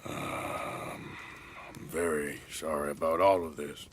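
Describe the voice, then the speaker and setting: An actor playing an ailing, regretful outlaw gunman in a game cutscene, with a gravelly American Western drawl and halting, plain speech. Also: rumbling low, steady tone, a little hiss or hum. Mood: weary, regretful. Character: weary, ailing male outlaw gunman, gruff but regretful. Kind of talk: video game cutscene acting.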